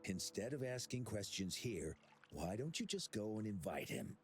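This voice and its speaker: Helpful confident game character, bit low, expressive, kinda sly, music in the back. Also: male voice, normal speed. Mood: knowing. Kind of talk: video game voice acting. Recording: music playing behind the voice.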